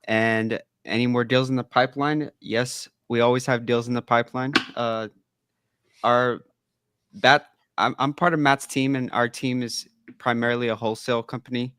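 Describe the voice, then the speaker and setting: A man answers questions casually in a smooth, clear voice. His pitch stays quite flat, and the sound around him is dry. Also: normal speed, dry recording. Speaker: real estate wholesaler on a panel.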